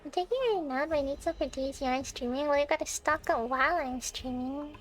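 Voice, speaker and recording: A woman's voice: high-pitched, fairly even intonation, a little fast. A woman live streamer giving casual, playful reaction commentary as she plays a video game. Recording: game music behind the voice. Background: video game music.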